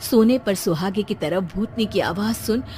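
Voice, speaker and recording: A woman's voice: medium pitch, normal speed, warm tone, a little hiss or hum. A woman narrating a scripted story in a dramatic storytelling style.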